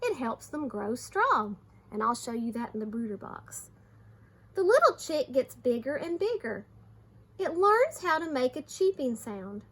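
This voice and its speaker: A woman teacher reading aloud to young children, expressive, with simple explanations along the way. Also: high-pitched, measured pace, husky.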